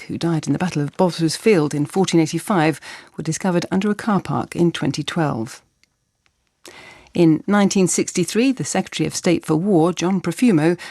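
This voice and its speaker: A woman reading scripted radio news in a measured, formal manner. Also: sits low, gravelly, mellow, no echo, clean studio sound. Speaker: newsreader.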